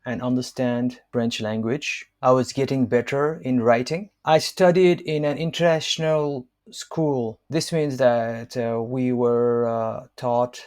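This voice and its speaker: Guy in his 50s from nepal, first language newari, reads his own book real slow, lots of ums. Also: mid-range voice, fairly even intonation, darkish tone. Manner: measured, hesitant delivery with frequent fillers. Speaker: author and language counselor.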